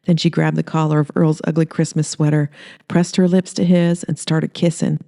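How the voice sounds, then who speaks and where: A woman's voice: low-pitched, little pitch movement, normal speed, creaky, warm tone. A woman reading scripted fiction aloud as a storyteller. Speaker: author reading aloud, host.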